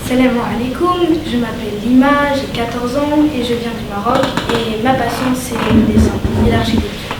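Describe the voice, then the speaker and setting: A woman playing an earnest Moroccan schoolgirl with a high voice. She is heard from afar in an echoing hall, with constant noise. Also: normal speed, natural voice. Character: Moroccan teenage girl, hopeful artist. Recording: echoey hall from far off, noisy.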